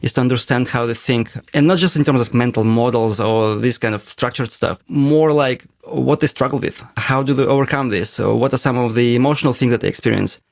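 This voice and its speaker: Guy, gravelly creaky voice, talks slow and thoughtful, in his 30s. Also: medium pitch, no echo. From Russia. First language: Russian. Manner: measured, thoughtful, explains at length. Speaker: podcast co-host, interviewee. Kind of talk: reflective conversational interview.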